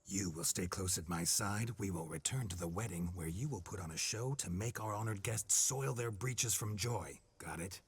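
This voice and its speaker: An actor playing a pompous, commanding nobleman in a video game, with a husky voice and a posh, haughty manner. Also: deep voice, moderate pace, few pauses, full character voice. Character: pompous male nobleman speaking through a witcher.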